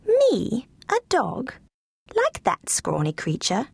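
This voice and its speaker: A woman's voice: sits high, sing-song, unhurried, husky, no echo, clean studio sound, voice pushed up, heavily acted. A woman voicing a pampered little female lapdog, breathless and gushing. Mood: tender.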